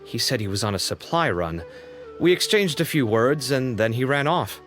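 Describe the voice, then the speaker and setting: A talkative, expressive young man, voiced by an actor whose mid-pitched voice rises and falls with his flustered, fussy energy. Long reverb hangs on his lines, and clear game music runs behind him. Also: moderate pace, lightly acted. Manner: lively, chatty and a little flustered. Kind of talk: video game voice acting.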